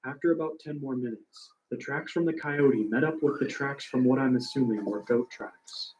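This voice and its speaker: A man reads a creepy story in an even, flat narration. A faint noise sits behind the voice. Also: mid-range voice, normal speed, poor audio.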